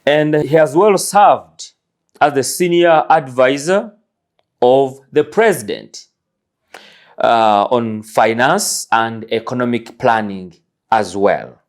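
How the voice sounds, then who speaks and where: A man's voice: medium pitch, animated, slow pace. A man hosting an interview, introducing his guest in an earnest, emphatic way. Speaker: host.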